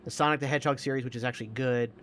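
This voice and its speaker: A man's voice: medium pitch, fairly even intonation, rapid-fire, fairly bright tone, a little hiss or hum. A man in his forties chatting casually as a podcast co-host, opinionated and emphatic, repeating himself to make a point. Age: 40s. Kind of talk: casual chat about movies.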